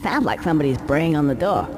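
A man voices a cheeky squirrel from a cartoonish video game. The voice sits fairly high and jumps around in pitch, chatty and hesitant with a wry, flippant edge. The capture is noisy. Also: animated, moderate pace, lightly acted. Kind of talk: video game cutscene acting.

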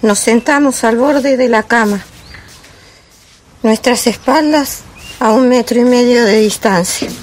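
A woman playing a melancholy, lonely wife in a poetic theatrical monologue, with a measured, reflective delivery. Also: a little high, a little hiss or hum, close to an everyday voice. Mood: wistful, resigned. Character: melancholy wife recalling a silent, lonely marriage. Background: music.

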